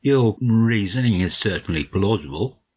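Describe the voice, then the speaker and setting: Modest gentleman storyteller , played by a man: deep, husky, measured and courteous, audiobook on slightly muffled audio. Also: lively intonation. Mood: puzzled, polite. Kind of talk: dramatic audiobook reading, storytelling.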